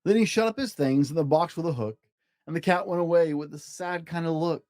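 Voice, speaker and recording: A man reading a children's story aloud with expressive, emphatic delivery of the rhymes. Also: fairly high pitch, a little fast, no room sound, studio quality. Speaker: storybook reader, host.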